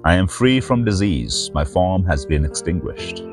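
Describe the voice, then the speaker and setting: A man with a deep voice speaks as a spiritual teacher presenting sacred verse. His delivery is solemn and measured, shaped like a devotional recitation. Soft instrumental music plays faintly underneath. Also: expressive. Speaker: spiritual teacher and reader, host of the series. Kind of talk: slow devotional reading of sacred verse with short host remarks.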